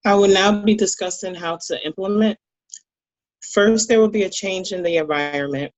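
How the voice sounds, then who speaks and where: A woman from New Jersey, a recreational therapist, reading a prepared presentation slowly and deliberately. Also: medium pitch, no room sound, lo-fi sound.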